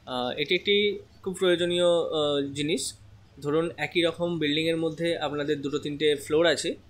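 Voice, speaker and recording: A man giving a casual product demonstration as a seller, explaining step by step with frequent hesitant pauses. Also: fairly high pitch.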